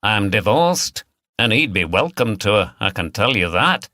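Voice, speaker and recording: Male reader doing a cheeky pub joker, wry and teasing, bright punchy voice, very dry recording. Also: fairly low pitch, very animated, measured pace, no echo, full character voice.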